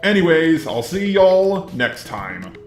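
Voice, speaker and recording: A man's voice: on the high side, very animated, takes it slowly, echoey room, music in the background. A man's synthetic robot voice delivering scripted commentary as the host of a video.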